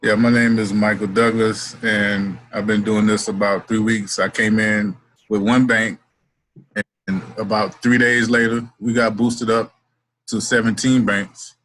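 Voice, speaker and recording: A man on a video call giving an earnest testimonial, a little halting and full of fillers. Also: fairly low pitch, little pitch movement, fairly bright tone, a little hiss or hum, poor audio. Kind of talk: casual testimonial.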